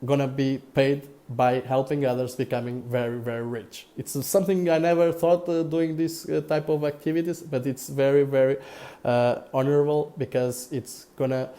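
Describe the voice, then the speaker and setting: A man from Portugal with a Portuguese accent, talking casually on a live broadcast, halting with many fillers and repeated words. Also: mid-range voice, flat delivery, warm tone. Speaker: crypto investor vlogger.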